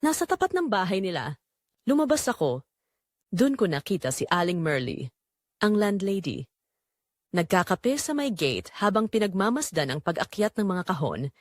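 A wistful young man voiced by a woman recalls a secret romance in an audio drama. The delivery is earnest and measured, with pitch that moves expressively. The recording is dry and close, with no room around the voice. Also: very animated, no room sound. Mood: wistful, intimate. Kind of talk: scripted first-person audio drama narration.

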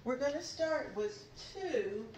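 A woman's voice: high-pitched, expressive, takes it slowly, a little mellow, slight background noise, rough recording. An older woman hosting a home decor vlog, talking casually and encouragingly as she demonstrates.

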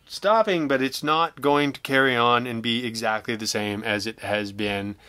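Give a man's bright voice in a close, dry recording, chatting casually as an interview guest. He is smooth in tone but hesitant in phrasing, with a wry, self-deprecating way of talking. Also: medium pitch, normal speed, no room sound. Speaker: interview guest, audio drama writer.

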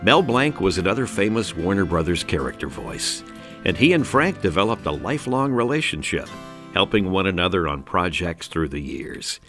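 A man's voice: sits low, animated, normal speed, fairly bright tone, soft background music. A man reading scripted narration for a documentary.

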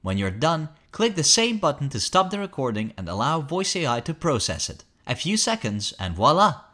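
A man reading a scripted promotional software tutorial in an upbeat, instructional manner. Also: fairly high pitch, very animated, normal speed. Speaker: tutorial narrator.

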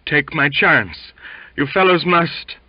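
A man voicing an ailing, stubborn sailor in a halting, gasping mumble for a storybook. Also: a little high, lively intonation, no room sound, highs cut off, voice pushed up. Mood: labored, defiant.

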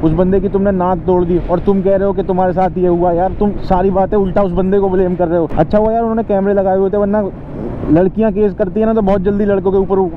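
A man's voice: high-pitched, flat delivery, quick pace, hardly any pauses. A man, a motovlogger giving a colloquial, indignant and pleading opinion while riding. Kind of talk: casual opinion vlog. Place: outdoors, riding a motorbike on a highway. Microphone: helmet-mounted microphone. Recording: muffled phone-like helmet mic, loud road noise.

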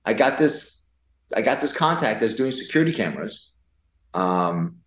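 A man's voice: fairly low pitch, big pitch swings, normal speed, fairly bright tone, echoey room. A man in his forties telling stories casually as a podcast guest, playful, joking and prone to digress. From born in Taiwan. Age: 40s. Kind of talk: casual conversational storytelling. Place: indoors in a pool house.

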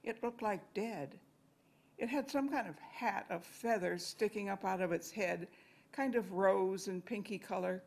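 A woman's voice: medium pitch, a little slow, creaky, a little mellow. An older woman reading a conversational poem aloud at a podium microphone. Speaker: amateur reader in a reading-aloud group. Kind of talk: expressive poetry recitation. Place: library meeting room, indoors.

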